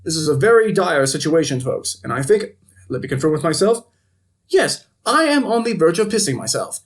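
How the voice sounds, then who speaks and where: A man's voice: fairly high pitch, sing-song, moderate pace. A man playing a flippant TV news anchor in an audio drama, reading reports with wry, editorializing asides. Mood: alarmed, sardonic. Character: flippant male TV evening news anchor covering a zombie outbreak.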